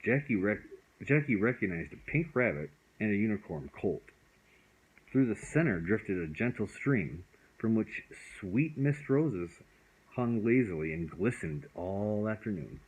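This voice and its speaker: A man's voice: on the low side, lively intonation, a little slow, breathy edge, low-quality recording. A man reading a bedtime story aloud as storyteller, expressively and with the odd stumble over words.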